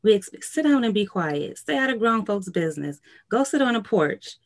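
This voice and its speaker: A woman's voice: mid-range voice, big pitch swings, normal speed. Affirming woman podcast host. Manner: affirming, encouraging replies. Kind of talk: casual interview conversation.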